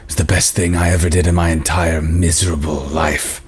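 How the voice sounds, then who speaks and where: Man acting a very deep, husky dying brother. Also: little pitch movement, a little fast. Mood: weary, tender. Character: dying brother, weary man, grateful and tender. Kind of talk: dramatic screenplay table read.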